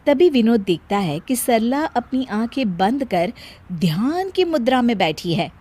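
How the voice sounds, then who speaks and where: A woman's voice: fairly high pitch, big pitch swings, a little slow, warm tone, dry recording. A woman narrating a scripted cartoon story as the storyteller.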